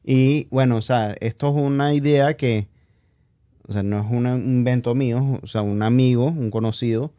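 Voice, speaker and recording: A man's voice: mid-range voice, steady tone, moderate pace, dark tone, no echo, clean studio sound. A man, chatting casually and reflecting on his own life as a podcast guest. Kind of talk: casual chat, personal reflection.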